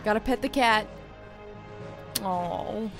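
A young woman giving casual, halting, sweary reaction commentary to game cutscenes, close on a desk microphone. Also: medium pitch. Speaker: gaming streamer. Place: indoor room at a desk. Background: game music and sound.

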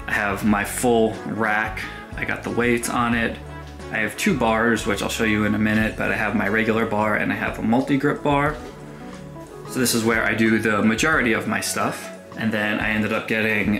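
A man's voice: medium pitch, a bit bright. Chatty man in an echoey basement. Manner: chatty, explains gear plainly. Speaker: fitness vlogger. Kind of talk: casual home gym tour. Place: small basement home gym.

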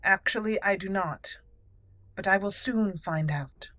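A woman's voice: mid-range voice, measured pace, a bit bright, dry recording. A woman doing casual, chatty let's play commentary with some roleplay, playful in manner. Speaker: gaming video host.

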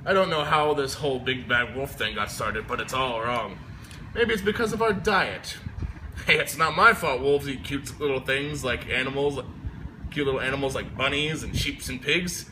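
A man's voice: mid-range voice, normal speed, bright, forceful tone, close to an everyday voice. A man reading as a chatty, aggrieved storybook wolf who pleads innocence, in a folksy, emphatic way. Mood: mock innocent. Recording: noisy amateur phone video recording.

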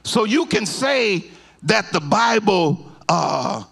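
A middle-aged man preaching a sermon in a church sanctuary into a handheld mic, moving from slow low teaching to shouted, half-sung climaxes. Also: big pitch swings, gravelly, breathy edge. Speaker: pastor.

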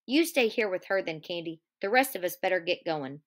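A woman voicing a steady, quietly firm ranch man who speaks in an even, measured way. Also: close to an everyday voice. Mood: calm. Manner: even, low-key and measured.

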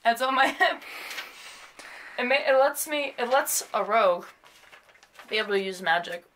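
A woman's voice: fairly high pitch, lively intonation, normal speed, fairly bright tone, slight background noise. Offhand young woman chatting. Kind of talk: casual chat. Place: room, indoors.

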